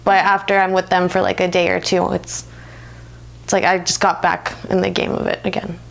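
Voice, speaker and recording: Young American woman with a creaky voice. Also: lowish voice, flat delivery, fairly quick, fairly bright tone. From United States. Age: 20s. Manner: informal, often laughs while talking. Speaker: interviewee. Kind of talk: reflective, conversational personal interview.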